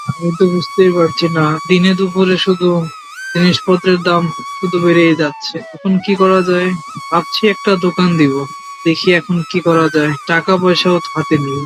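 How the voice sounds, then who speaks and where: A man's voice: sits high, low-quality recording. A man voicing a humble village shopkeeper in a cartoon story, musing aloud in a plain-spoken way. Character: poor, worried village man turned hopeful shopkeeper. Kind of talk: cartoon character dialogue.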